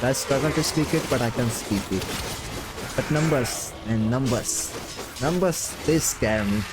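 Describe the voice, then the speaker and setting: A man's voice: medium pitch, background noise. A man, not a native English speaker, chatting casually while streaming a game, rambling with lots of fillers and little laughs. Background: game music.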